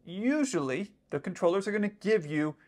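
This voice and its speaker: Pilot in his thirties, fairly high voice, casual explainer to camera, indoor room. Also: lively intonation, moderate pace, darkish tone. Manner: explanatory, with frequent "let's say" asides. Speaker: airline pilot commenting on radio audio.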